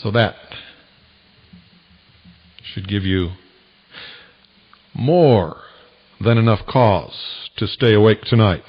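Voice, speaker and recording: A man's voice: on the low side, slightly rough. Lo-fi shortwave American man, portentous radio host. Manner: slow, deliberate delivery with dramatic pauses; portentous, preaching to listeners. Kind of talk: talk radio monologue. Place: radio broadcast. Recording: dull lo-fi 1990s shortwave radio recording.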